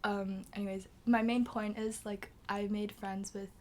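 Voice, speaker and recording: A woman's voice: mid-range voice, level tone, measured pace, a little hiss or hum. A young woman in her late teens from Macau, talking with a slightly British-tinged accent. She chats casually as a guest, piling up fillers, and often lets her phrases fade into a near whisper. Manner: chatty, filler-heavy, trails into soft half-whispers. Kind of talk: casual chat.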